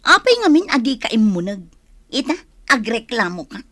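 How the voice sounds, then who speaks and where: An aggrieved young Ilocano wife in a radio drama. Her high voice is reproachful and defiant, pleading her case, recorded dry with no room sound. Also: big pitch swings, normal speed, fairly bright tone, no echo. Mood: angry.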